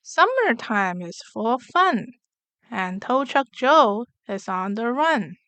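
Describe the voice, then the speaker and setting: Female children's story reader: unhurried, smooth and clear, expressive, carefully enunciated for little listeners, dry close sound. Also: fairly high pitch, dry recording. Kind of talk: expressive read-aloud of rhyming picture book.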